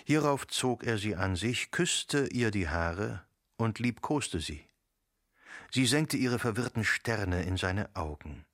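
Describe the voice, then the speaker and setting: A man narrating a literary radio play, reading in a measured, articulate way. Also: fairly low pitch, animated, fairly bright tone, dry recording, clean studio sound.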